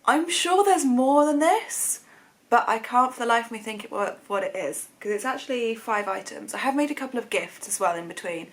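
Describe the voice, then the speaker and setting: A British woman with a slightly croaky voice, hosting a chatty sewing vlog in an informal, rambling way, often correcting herself. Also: fairly high pitch, animated, normal speed. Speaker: sewing vlogger, channel host. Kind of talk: casual chatty vlog to camera.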